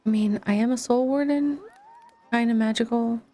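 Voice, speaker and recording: A woman's voice: fairly high pitch, steady tone, moderate pace, dark tone, slight background noise. A woman doing casual, playful video game commentary, wryly talking to herself as she plays.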